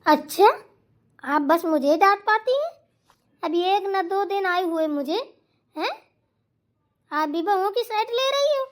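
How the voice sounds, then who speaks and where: A woman acts out a demanding young sister-in-law with an extremely high, girlish pitch. She is quarrelsome and insistent, complaining her way through a domestic comedy skit. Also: lively intonation, unhurried, pauses often. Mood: indignant. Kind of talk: family comedy skit acting.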